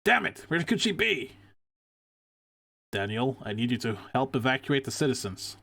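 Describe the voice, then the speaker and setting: A man's voice: mid-range voice, very animated, moderate pace, vocal fry, sharp tone, heavily acted. A man voicing a determined policeman father in a video game, reading his dialogue aloud. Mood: urgent. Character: gruff, determined policeman father searching for partner.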